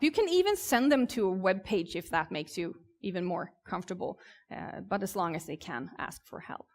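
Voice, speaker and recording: A woman from southern Sweden with a Swedish accent, presenting informally and conversationally as a conference speaker, with hesitant pauses. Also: mid-range voice. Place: conference stage.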